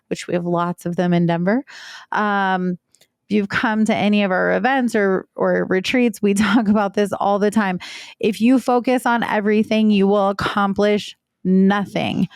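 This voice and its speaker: A woman co-hosting a business leadership podcast with a pitchy voice, chatty and playful. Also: no echo, clean studio sound. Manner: playful, chatty, laughs easily. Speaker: podcast co-host. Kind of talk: casual podcast chat.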